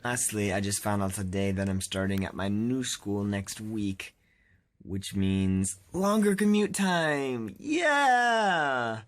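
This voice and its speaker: Young male vlogger, lower-pitched, casual storyteller with exasperated, self-deprecating delivery. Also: very animated, takes it slowly.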